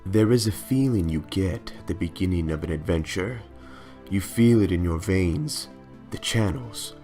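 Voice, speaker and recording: A man's voice: low voice, unhurried, mellow, soft background music. A man voicing a solemn, wistful male adventurer narrating his final journal.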